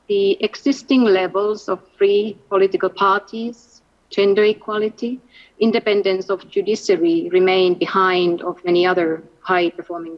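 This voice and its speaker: Finnish woman on a webinar panel. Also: mid-range voice. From Finland. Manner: measured, structured points. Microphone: video call. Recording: slightly dull online audio.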